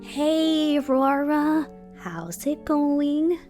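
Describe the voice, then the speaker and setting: A woman playing a gentle, reassuring older cousin pony in a cartoon audio drama, over music. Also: high voice, lively intonation, extremely slow, heavily acted. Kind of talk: animated audio drama acting.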